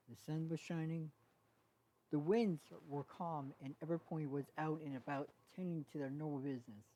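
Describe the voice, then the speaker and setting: Amateur female storyteller, low register, halting read of fan fiction narration. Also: warm tone, poor audio. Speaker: story reader.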